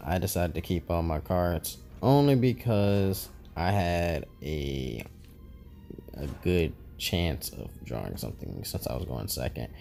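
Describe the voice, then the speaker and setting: Deep gravelly-voiced man commenting gameplay. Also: unhurried, faint music behind. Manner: relaxed, rambling, self-correcting. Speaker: gaming YouTuber.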